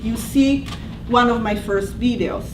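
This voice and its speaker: A woman's voice: a little high, measured pace. A woman with an Italian accent, a language teacher presenting to colleagues in a conversational way, explaining patiently and often restarting her sentences. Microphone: distant room mic. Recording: echoey room from far off with background noise.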